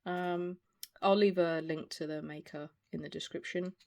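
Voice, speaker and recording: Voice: mid-range voice, steady tone, normal speed. Reviewer in their forties, androgynous mid-range voice, hesitant casual chat over noticeable noise and clicks. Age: 40s. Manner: hesitant, rambling. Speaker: video reviewer. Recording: clear background noise, small clicks.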